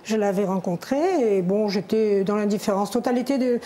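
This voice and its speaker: A middle-aged woman from Algeria, a guest on a television talk show, speaking candidly and at a measured pace, with pauses. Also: medium pitch, dark tone, slight background noise. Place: television studio.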